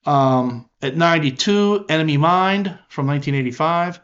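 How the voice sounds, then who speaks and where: A man's voice: fairly high pitch, moderate pace, a bit bright, dull audio. A man in his fifties hosting a casual podcast chat, rambling and wry, poking fun at himself. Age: 50s. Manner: wry, rambling, self-deprecating. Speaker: podcast host.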